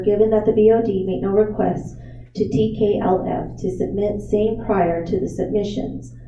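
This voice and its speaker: Woman, board secretary, measured formal reading, echoey room, dull lo-fi sound. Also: mid-range voice, a little hiss or hum. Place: meeting room. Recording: echoey room, distant muffled mic.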